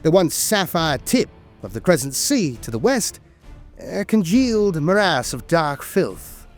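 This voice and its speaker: Man narrating dramatically over music, lively pitch. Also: a little slow. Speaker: narrator of a lore channel. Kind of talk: dramatic scripted lore narration.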